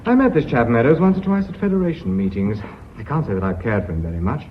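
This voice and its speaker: An actor playing an authoritative, sardonic company director in a British radio drama, clipped and impatient, with an English accent. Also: male voice, mid-range voice, big pitch swings, fast, natural voice. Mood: dry composure. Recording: muffled, faintly noisy old radio broadcast.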